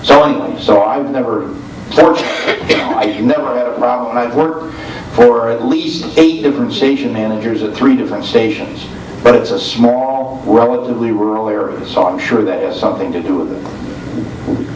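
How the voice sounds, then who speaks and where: A man's voice: medium pitch, moderate pace, a little mellow. A man who works as a radio host, speaking casually on a panel. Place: convention room, informal video recording. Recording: echoey room, distant mic, faint noise.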